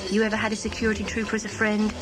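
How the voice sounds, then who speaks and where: A woman's voice: medium pitch, monotone, rapid-fire, close to an everyday voice. A woman playing a cheeky, blunt, outspoken worker who challenges everyone in an audio drama. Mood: cheeky, defiant. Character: Toy, cheeky, blunt, outspoken working woman of downtrodden race. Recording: music behind.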